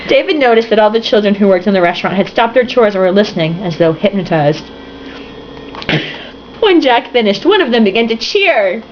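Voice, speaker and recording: A woman's voice: medium pitch, sing-song, a little fast. A young woman reading a novel aloud, tipsy, giggly and sarcastic, breaking off for swearing asides. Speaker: reader and commentator. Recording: dull lo-fi home recording with faint hiss.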